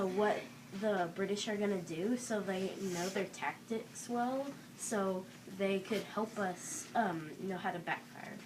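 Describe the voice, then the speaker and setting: Young girl, student debater, medium pitch, halting, noisy recording. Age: child or young student. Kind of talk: classroom debate argument. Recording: clearly noisy room.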